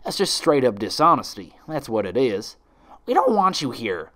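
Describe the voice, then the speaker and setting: A man's voice: medium pitch, big pitch swings, moderate pace, pushed and bright. A man voicing a blunt, folksy country mare who sounds stern, in a story reading. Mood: stern, accusing.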